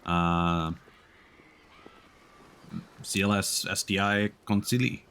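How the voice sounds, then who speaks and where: A man's voice: sits low, steady tone, slow, bright, forceful tone, clear, resonant tone. A man streaming a video game, giving casual live commentary as he plays.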